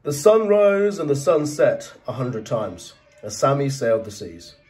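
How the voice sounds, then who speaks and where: A man narrating a children's storybook as a teacher, reading in an expressive, dramatised way. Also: mid-range voice, a little slow, distant mic.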